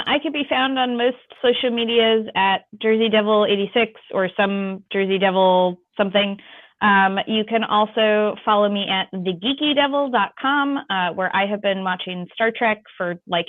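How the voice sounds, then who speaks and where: Female panelist, average pitch, very dry sound, casual and rambling. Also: normal speed, no echo, narrow, tinny audio. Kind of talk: casual chat.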